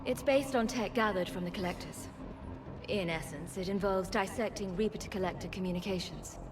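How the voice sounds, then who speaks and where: A woman playing an earnest, composed scientist in a sci-fi video game, speaking in a measured, articulate way. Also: mid-range voice, noisy background, lightly acted. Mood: reflective. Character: earnest, composed adult woman scientist, former research physicist.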